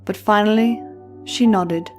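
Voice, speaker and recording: A woman's voice: mid-range voice, measured pace, music in the background. Casting: woman storyteller for narrated fiction, mid-range voice, light musical backing.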